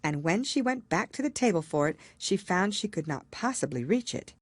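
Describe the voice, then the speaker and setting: A woman reading a storybook aloud as scripted narration, in an expressive, measured storytelling manner. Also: on the low side, slightly rough, dry recording, clean studio sound. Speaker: audiobook reader.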